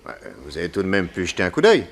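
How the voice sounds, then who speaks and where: An actor playing a steady, good-natured gentleman detective in a French radio play, brisk and reassuring. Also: low voice, exaggerated voice. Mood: alert.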